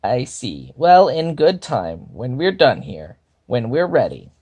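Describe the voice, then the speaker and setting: A man's voice: medium pitch, wildly swooping pitch, a little mellow, breathy edge, heavily acted. A man voicing a smug, menacing male kobold general who sneers and drawls in a bedtime storybook reading. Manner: sneering, mocking, drawn-out.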